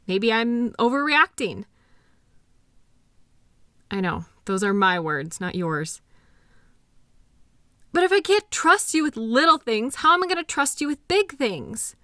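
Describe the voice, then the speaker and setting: Hurt, mistrustful girlfriend voiced by a woman for relationship audio drama: very lively intonation, close dry sound, anxious and accusing. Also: sits high, dry recording, heavily acted. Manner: emotional, accusatory, halting. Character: hurt, anxious girlfriend with trust issues, arguing with partner. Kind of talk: intimate roleplay audio drama.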